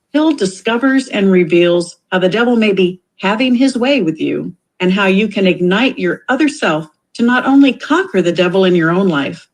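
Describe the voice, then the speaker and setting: Narrator: adult woman, calm even read, mid pitch, scripted book intro. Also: low-quality recording.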